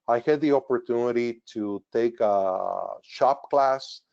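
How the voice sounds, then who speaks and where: A man's voice: mid-range voice, slow, gravelly, darkish tone, clear, resonant tone. A man in his sixties with a Mexican Spanish accent, a native Spanish speaker who grew up in northern Mexico, giving an earnest, explanatory webinar talk as a retired engineer, with frequent fillers. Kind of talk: presentation talk over slides. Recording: dull lo-fi webinar audio.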